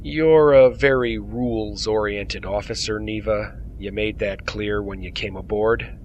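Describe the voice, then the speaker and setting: An actor playing a wry, unrepentant starship captain in an audio drama, speaking in a dry, measured and formal way. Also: male voice, fairly low pitch, fairly even intonation, muffled recording, slight background noise, close to an everyday voice. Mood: wry, composed. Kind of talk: science fiction audio drama acting.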